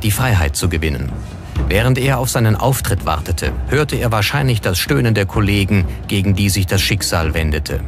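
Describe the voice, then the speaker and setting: A man with a fairly low voice narrates a documentary from a script. Music plays clearly behind him as he reads. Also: animated, normal speed. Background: background music.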